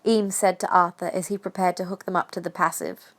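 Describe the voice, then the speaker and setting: A woman's voice: lowish voice, steady tone, a little fast, a little hiss or hum. A woman reading scripted story narration as the narrator of a fan audio drama.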